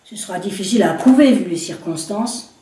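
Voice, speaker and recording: A woman's voice: mid-range voice, expressive, brisk, warm tone, slight background noise, natural voice. A woman voicing a skeptical male police commissioner who answers in a dry, matter-of-fact way. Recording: roomy echo, distant mic.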